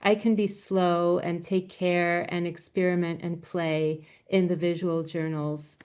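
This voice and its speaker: A woman giving a talk as a guest speaker over a video call, speaking with a quiet, soft-spoken voice. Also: on the low side, little pitch movement, unhurried, clean tone. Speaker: guest speaker, graphic novelist.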